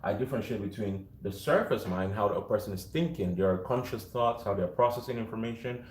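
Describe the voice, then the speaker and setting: A man with a deep, low voice giving a rambling tarot reading to a viewer, often breaking off mid-thought, recorded without a microphone. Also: a little fast. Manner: rambling, self-interrupting, frequent fillers. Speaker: tarot reader. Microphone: no microphone, built-in device mic. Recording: echoey room.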